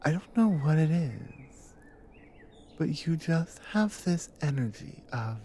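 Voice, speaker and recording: Actress as devoted, teasing warrior wife for ASMR roleplay: soft breathy murmur, slow delivery, ambient noise behind. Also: female voice, low voice, big pitch swings, exaggerated voice. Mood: affectionate, reflective. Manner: soft, breathy, hushed murmur with unhurried pauses. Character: devoted dominant Nordic warrior wife, tender and teasing adventurer. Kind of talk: intimate ASMR roleplay audio.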